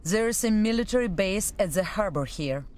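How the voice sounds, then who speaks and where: A woman's voice: medium pitch, expressive, moderate pace, darkish tone, a little hiss or hum, close to an everyday voice. Woman acting as resolute, commanding female officer. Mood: measured. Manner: urgent, commanding, earnest. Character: loyal, resolute female military officer and former security chief. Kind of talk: video game cutscene acting.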